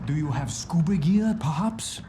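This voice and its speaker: A man's voice: on the high side, expressive, a little slow, warm tone, a little hiss or hum. An actor playing an anxious, frazzled museum curator in a video game cutscene, fretful and rather formal in his speech. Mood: dry, resigned.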